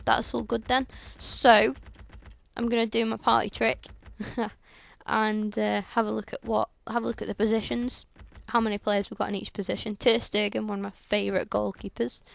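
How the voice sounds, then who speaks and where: A young woman with a sore, croaky throat, chatting through video game commentary on a headset mic in a rambling way full of fillers. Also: medium pitch, flat delivery, normal speed. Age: young adult. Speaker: gaming channel host. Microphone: headset microphone.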